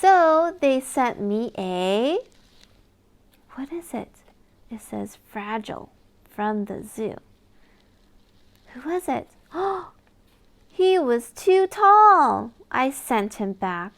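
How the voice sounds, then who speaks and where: A woman reading a picture book aloud to young children in an expressive storytelling manner, with exaggerated wonder for small listeners. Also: on the high side, extremely slow, pauses often.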